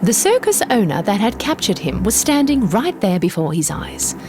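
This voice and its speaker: A woman narrating a scripted children's story in an expressive, deliberate storytelling manner. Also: medium pitch. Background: music.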